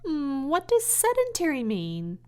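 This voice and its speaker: A woman's voice: high-pitched, wildly swooping pitch, unhurried, a little mellow, pitched above the performer's own voice, full character voice. A woman voicing a naive, boastful young male pig in a children's storybook, earnest and childlike. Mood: eager.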